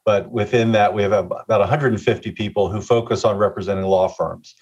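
An older man answering interview questions about his firm, halting with many fillers and restarts. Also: on the low side, steady tone, darkish tone, breathy edge. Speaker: guest, real estate executive. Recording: slightly muffled mic.

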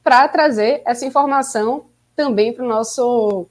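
A woman's voice: fairly high pitch, measured pace. A woman co-hosting a casual live podcast stream, chatty and upbeat as she urges viewers to like and subscribe. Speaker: podcast co-host.

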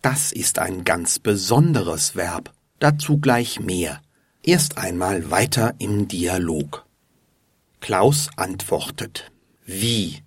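Slow, deep-voiced man teaching. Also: animated, husky, no echo, clean studio sound. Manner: deliberate and patient. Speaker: German teacher and podcast host. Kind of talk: slow, clear explanation for learners.

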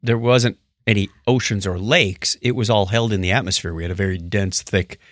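A man's voice: fairly low pitch, fairly quick, a bit bright, dry recording, studio quality. A man chatting casually about science news as a podcast co-host, with wry, joking asides.

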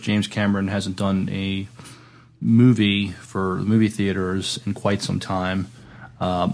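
A man co-hosting a casual film discussion, speaking haltingly and repeating words as he searches. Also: fairly low pitch, creaky. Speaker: podcast co-host.

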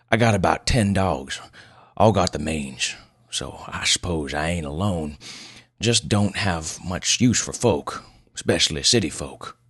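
Casting: male narrator as elderly hillbilly, very low gruff voice, halting and folksy, wary, audiobook character, dry sound. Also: a bit bright, no echo, full character voice. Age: 70s. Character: gruff old backwoods mountain man, 74, rambling and suspicious.

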